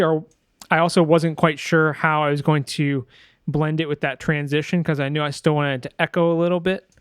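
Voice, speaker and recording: A man with a slightly high, very clean and smooth voice walks through software in a casual tutorial. He thinks aloud and corrects himself informally, recorded close and dry with little room sound. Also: dry recording. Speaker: sound designer presenting a tutorial. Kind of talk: casual screen-share software walkthrough.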